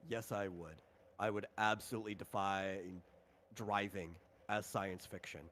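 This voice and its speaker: Man, lowish voice, game designer walking a player through tabletop rules, informal and explanatory. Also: steady tone, unhurried, fairly bright tone, a little hiss or hum. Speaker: game designer running a tabletop RPG session.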